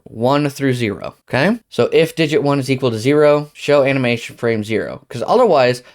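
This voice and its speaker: Guy doing a laid-back how-to, mid-pitched voice, kinda thinking out loud as he goes step by step. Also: fairly quick. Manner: informal, talks himself through each step. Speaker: tutorial host. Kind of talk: casual screen-recorded software tutorial, thinking aloud.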